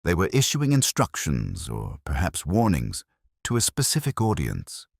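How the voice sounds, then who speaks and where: A man narrating a scripted mystery story in a measured, literary way. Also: low-pitched, wildly swooping pitch, creaky, slightly rough, dry recording, clean studio sound.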